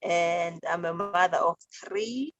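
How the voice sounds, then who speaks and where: A woman's voice: fairly low pitch, takes it slowly, dull audio, crowd noise. A woman from the Eastern Cape of Africa, introducing herself to a group. She sounds friendly and a little hesitant. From Eastern Cape, South Africa. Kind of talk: self-introduction on a group call.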